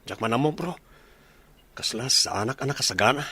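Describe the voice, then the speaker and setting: A man acting as a brisk, friendly young buddy in a radio comedy drama. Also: medium pitch, lively intonation, sharp tone, husky.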